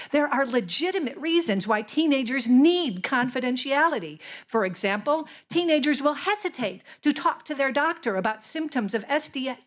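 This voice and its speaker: A woman reads her prepared testimony to a committee in a firm, direct way. Her voice is slightly high. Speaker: member of the public testifying. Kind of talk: reading a prepared statement.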